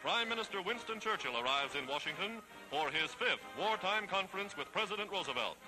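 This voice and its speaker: Old 1940s newsreel guy, high voice, formal announcer delivery, loud music behind him. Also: animated, fairly quick, poor audio. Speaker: newsreel narrator. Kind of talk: 1940s newsreel scripted narration. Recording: vintage 1940s newsreel film soundtrack. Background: loud orchestral newsreel music.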